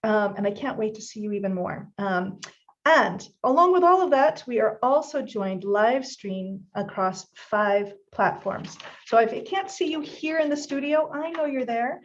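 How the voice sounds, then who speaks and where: A woman's voice: fairly high pitch, lively intonation, measured pace, lo-fi sound. Upbeat woman hosting a live stream. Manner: upbeat, addresses audience directly. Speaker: host.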